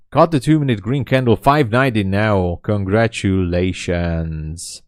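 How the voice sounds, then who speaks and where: A man in his late thirties with a low, deep voice talks casually on a live trading stream. He is chatty and candid and responds to viewers' comments. The sound is dry and close, with almost no room echo. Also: takes it slowly. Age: 30s. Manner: candid and self-deprecating. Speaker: day trader streaming live. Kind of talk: casual livestream chat.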